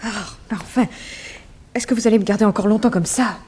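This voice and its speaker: An actress playing a hard-bitten young model mixed up in crime in a 1960s French radio drama, curt and distrustful. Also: female voice, mid-range voice, fast talker, warm tone, close to an everyday voice. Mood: impatient, distrustful. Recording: faintly noisy vintage radio recording.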